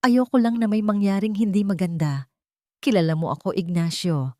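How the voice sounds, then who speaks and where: Woman narrator playing a concerned lady doctor, measured doubtful manner, insinuating, audio drama, dry sound. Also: medium pitch, steady tone, no echo, close to an everyday voice. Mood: feigned concern. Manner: measured, doubtful, falsely gentle.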